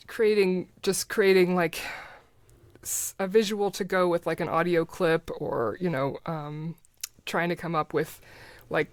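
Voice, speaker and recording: A middle-aged woman speaking as a podcast guest in a casual, halting, informal and rambling way. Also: lowish voice, no room sound, clean studio sound. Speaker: podcast guest, audio drama creator. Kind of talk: casual interview chat.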